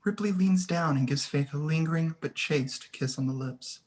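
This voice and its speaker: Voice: mid-range voice, moderate pace, a little mellow. A person reading scene headings and stage directions as scripted narration for a screenplay table read.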